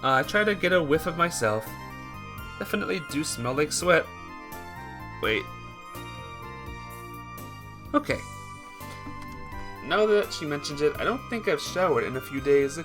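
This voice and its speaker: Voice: medium pitch, very animated, unhurried, pauses often. A streamer reading a visual novel aloud, often stumbling over words and correcting the slip, with music behind. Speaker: streamer, game player.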